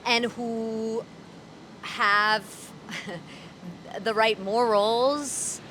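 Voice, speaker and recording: Candid woman with dark humor on a street. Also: fairly high pitch, fairly even intonation, drawn-out words, slight background noise, poor audio. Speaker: interview guest, voice actor and comedian. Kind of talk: casual street interview. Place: city street outdoors.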